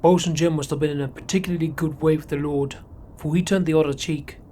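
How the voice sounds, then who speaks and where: A man's voice: on the high side, fairly even intonation, fast talker, warm tone, a little hiss or hum, natural voice. A man playing a deferential, plain-spoken sailing ship crewman with rustic, archaic seafaring speech in an audio horror drama. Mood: dutiful, uneasy.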